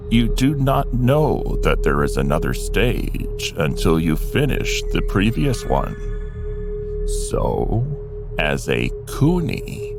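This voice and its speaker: Deep, gravelly, slow-paced male storyteller. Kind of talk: slow scripted fantasy narration in first person as an alien being. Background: music.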